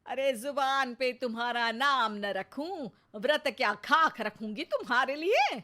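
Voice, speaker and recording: A woman voicing a bossy, greedy, stubborn elderly mother-in-law in a storybook cartoon, loud, scolding and dramatic. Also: sits high, animated, a little slow, voice pushed up, exaggerated voice. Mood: scornful.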